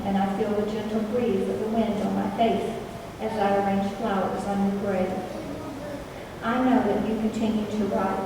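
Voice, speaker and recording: Soft-spoken woman reading a remembrance, gentle delivery, distant mic, long hall echo. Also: mid-range voice. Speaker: fellowship member. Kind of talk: reading a personal remembrance aloud. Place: large meeting hall indoors. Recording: echoey hall, far from mic, background noise.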